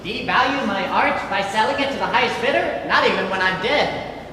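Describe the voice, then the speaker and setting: An amateur stage actor playing an eccentric, high-minded young painter with quirky, formal politeness. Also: expressive, normal speed, bright, forceful tone. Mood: haughty, playful. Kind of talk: amateur stage play acting. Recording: echoey hall, distant mic, noisy.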